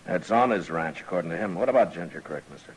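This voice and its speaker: An American actor playing a calm, firm frontier marshal with a terse, authoritative delivery in an old-time radio western. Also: male voice, low voice, fast, husky. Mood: relaxed, businesslike. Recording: noisy vintage radio drama recording.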